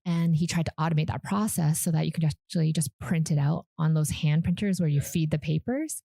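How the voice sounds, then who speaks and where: Low-voiced chatty woman, gravelly. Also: a little fast, warm tone, dry recording, clean studio sound. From Canada. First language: Vietnamese. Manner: chatty, laughs often, many fillers. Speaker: guest founder. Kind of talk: casual podcast interview.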